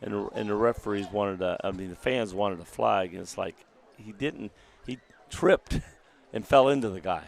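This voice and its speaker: A man with a deep, gravelly voice, calling a live local high school football game in a folksy manner while recovering from a lost voice. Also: normal speed, hardly any pauses. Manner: folksy, excitable on big plays. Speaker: play-by-play announcer. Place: outdoor stadium press box. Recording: outdoor stadium broadcast.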